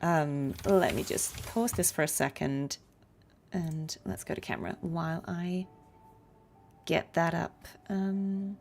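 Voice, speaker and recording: A woman's voice: lowish voice, fairly even intonation, slow, vocal fry, a little mellow, a little hiss or hum. A woman live streaming a game, chatting casually in a musing, appreciative way.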